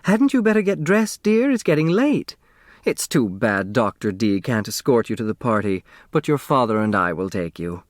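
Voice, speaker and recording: A man's voice: medium pitch, very animated, normal speed, no echo, studio quality, close to an everyday voice. A man voicing a middle-aged social-climbing mother in a storybook, wheedling and honey-sweet with affected airs. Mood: fussy, coaxing.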